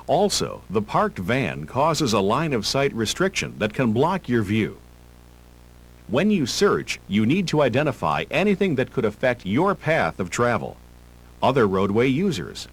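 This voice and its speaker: A man reading scripted driver-education narration in a measured, instructional manner. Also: mid-range voice, husky, low-quality recording. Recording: hum and hiss of old tape.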